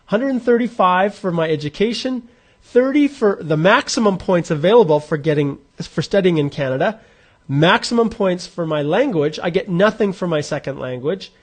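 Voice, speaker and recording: A man in his forties speaks in a fairly high voice for a man. He hosts a live online question session, walking viewers through a calculator step by step. His delivery is informal and conversational, with restarts as he thinks aloud. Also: lively intonation, moderate pace. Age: 40s. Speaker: immigration advisor hosting a live Q&A.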